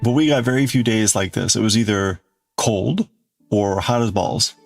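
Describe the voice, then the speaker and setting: Man, livestream host, gravelly creaky voice, mid-range pitch, casual rambling chat, exclamatory, faint music behind. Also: normal speed, dry recording. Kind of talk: casual livestream chat, crafting and reading out viewer chat.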